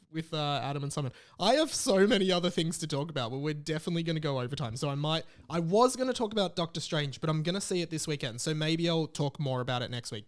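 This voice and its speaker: A man with an Australian accent hosts a casual comedy podcast. His voice sits a little high, and he talks in a loose, rambling way with a joking manner. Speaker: podcast host.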